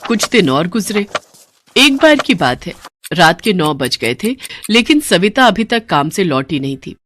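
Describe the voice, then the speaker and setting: A woman's voice: lowish voice, very animated, unhurried, a bit bright, slight background noise. A woman narrating a scripted cartoon story in a dramatic storytelling style.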